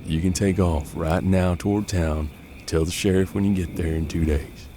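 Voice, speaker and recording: A man's voice: low-pitched, steady tone, moderate pace, warm tone, slight background noise, natural voice. An American man playing a seasoned, unflappable bounty hunter in a western audio drama, with a folksy, laconic drawl. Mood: firm, businesslike. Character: seasoned, unflappable Old West bounty hunter mentoring his apprentice.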